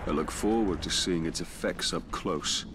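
An actor playing a grim, solemn ranger hero in a video game, speaking in a grave, formal, measured way. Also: male voice, deep voice, background noise, lightly acted. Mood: grave resolve. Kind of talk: video game character dialogue.

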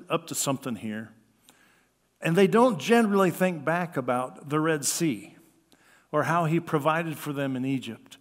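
An older American man preaching a conversational sermon, with an animated delivery that acts out quoted remarks. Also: a little high. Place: church sanctuary at a lectern, indoors. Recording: reverberant hall.

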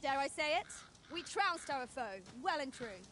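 An actress playing a loyal, earnest adventuring companion in a fantasy video game, speaking in formal, archaic phrasing. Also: female voice, high voice, sing-song, normal speed, crowd noise. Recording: game audio under clear ambient noise. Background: outdoor game ambience.

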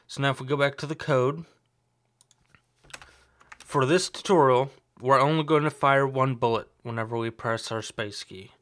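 A young man with a slight sore throat, talking through a screen-recorded coding tutorial in a relaxed, explanatory way. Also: medium pitch. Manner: relaxed, explanatory, thinks aloud with fillers. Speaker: tutorial host.

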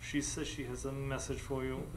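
A man's voice: mid-range voice, flat delivery, normal speed, natural voice. A man playing a stuffy, dithering lord in a live radio drama. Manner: pompous, blustering, "what, what" tic. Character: befuddled, pompous male English lord, stuffy and dithering. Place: live stage before an audience. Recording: noisy live stage recording.

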